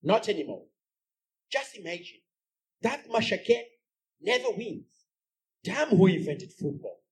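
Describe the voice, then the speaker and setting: Brief: man playing a fed-up woman waiting at home, husky, swings up and down in pitch, scolding bursts. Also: sing-song, takes it slowly, full character voice. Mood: exasperated. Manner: exasperated scolding outbursts. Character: frustrated lonely woman at home.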